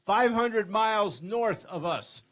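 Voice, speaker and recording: An older man from England, preaching in short phrases that pause for an interpreter. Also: high voice, lively intonation, fairly bright tone. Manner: speaks in short phrases, pausing for translation. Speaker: guest preacher. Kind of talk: sermon in English with interpreter.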